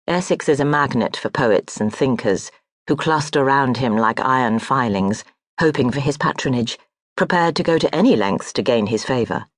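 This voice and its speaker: An audiobook narrator reading historical fiction in a measured, even storytelling manner. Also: medium pitch, dry recording. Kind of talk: scripted literary narration. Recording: dry close studio audiobook.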